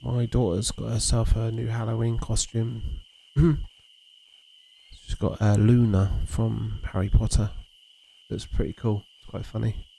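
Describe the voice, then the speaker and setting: Gravelly, low-voiced man chatting. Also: measured pace, rough recording. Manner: relaxed, rambling, talks to chat and reads messages aloud. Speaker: livestream host. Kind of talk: casual chat while streaming a fishing game.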